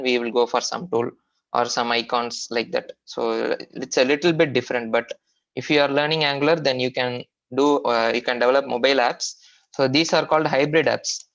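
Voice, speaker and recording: A man with an Indian accent giving an informal technical lecture as a software trainer, explaining haltingly with repetition and frequent hesitations. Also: medium pitch. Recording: dull, lo-fi online training audio.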